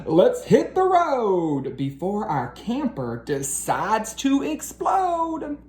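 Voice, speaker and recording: An eager, bossy storybook dad voiced by a woman reader, exclaiming urgently in a raised pitch. The calls are loud and commanding, ringing in an echoey room. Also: huge pitch swings, takes it slowly. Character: excited, bossy dad rousing his family for a trip. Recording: roomy echo with faint background noise.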